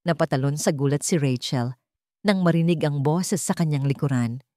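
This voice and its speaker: A woman's voice: low voice, moderate pace, mellow, no room sound, studio quality. A woman reading scripted dramatic narration of a romance story.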